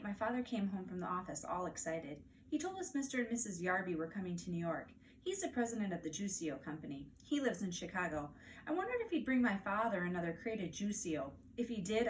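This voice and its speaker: American woman reading aloud, distant mic. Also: on the low side. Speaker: teacher reading aloud to first graders. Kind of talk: expressive children's storybook read-aloud. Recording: distant mic, faint background noise.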